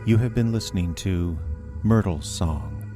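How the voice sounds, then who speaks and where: A man reading scripted credits as an announcer, measured and formal. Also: deep voice, lively intonation. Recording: music behind the voice.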